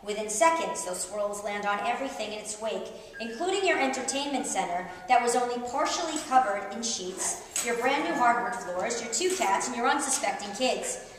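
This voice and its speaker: A middle-aged woman author reading a humorous personal essay aloud to an audience, with animated, wry storyteller emphasis. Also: on the high side, moderate pace. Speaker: author reading at a book tour event. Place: reverberant indoor event room. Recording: very echoey room, distant, faint background noise.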